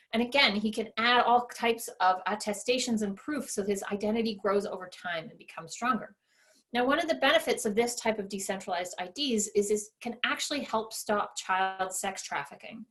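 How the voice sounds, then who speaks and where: A woman's voice: medium pitch, a bit bright. A woman giving a presentation, explaining things with enthusiasm. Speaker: conference speaker. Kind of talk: presentation talk.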